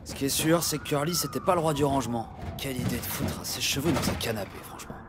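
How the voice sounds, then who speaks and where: An actor playing a diligent, wry junior detective in a French audio drama, with a casual way of talking. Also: male voice, medium pitch, fast, heavy background noise, close to an everyday voice.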